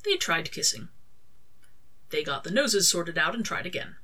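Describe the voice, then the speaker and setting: Female story narrator, slightly low register, crisp bright tone, scripted prose reading. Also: very animated, moderate pace. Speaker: author reading own fan fiction.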